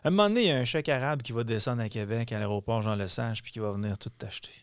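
Teasing Quebec radio host, dry sound. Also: male voice, mid-range voice, rapid-fire, no echo. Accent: Quebec French. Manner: teasing, joking. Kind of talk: casual radio interview.

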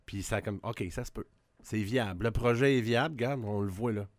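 A man in his fifties with a Québécois accent, reminiscing in a halting, reflective way during a casual podcast chat. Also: fairly low pitch, dry recording. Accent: Québécois French. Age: 50s. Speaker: musician guest.

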